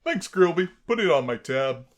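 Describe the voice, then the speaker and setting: A man's voice: fairly high pitch, big pitch swings, normal speed, pitched above the performer's own voice. A man voicing a laid-back, joking male skeleton in a dating game, with a casual, wisecracking manner.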